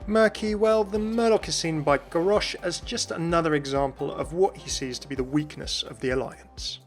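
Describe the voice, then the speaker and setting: A man's voice: fairly high pitch, normal speed, soft background music. A man giving scripted explainer narration as the host of a video game lore video.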